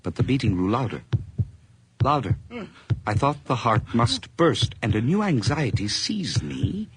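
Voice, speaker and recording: An actor narrating as a nervous, obsessive man confessing a murder in a radio drama, his delivery intense and feverish, moving between hushed whispers and sudden outbursts. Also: mid-range voice, very animated, a little slow, slight background noise.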